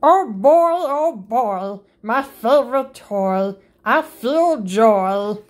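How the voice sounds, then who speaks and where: Woman storybook reader, very slow and sing-song, fairly high voice, springy on the sound words, read-aloud for kids. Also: animated.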